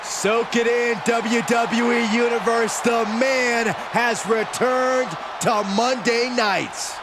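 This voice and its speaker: An excitable wrestling announcer for a sports game. His voice sits high as he calls the action like live TV. A very loud arena crowd roars behind him. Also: takes it slowly, background noise. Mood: excited. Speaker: wrestling commentators. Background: roaring arena crowd.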